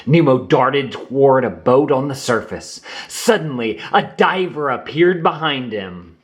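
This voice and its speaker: Slow, very expressive male storybook reader. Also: medium pitch. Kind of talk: children's read-aloud narration. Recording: roomy echo.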